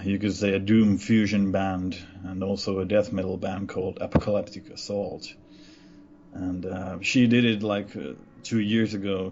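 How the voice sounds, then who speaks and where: A man with a Finnish accent talks in a relaxed, halting way, often filling gaps as he gathers his thoughts. His voice sits fairly low. The sound is dull and lo-fi, with a faint hiss behind it. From Finland. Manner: relaxed, halting with many uhs. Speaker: band member interviewed. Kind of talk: casual interview.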